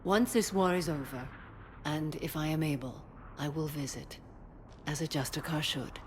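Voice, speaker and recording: An actress playing a solemn, dutiful warrior mother in a video game, speaking formally and gravely in measured phrases. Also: low voice, slight background noise, close to an everyday voice. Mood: calm, dignified. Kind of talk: dramatic video game acting.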